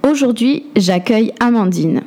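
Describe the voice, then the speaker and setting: A woman hosting a podcast, reading a calm, measured scripted introduction. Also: fairly high pitch. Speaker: podcast host.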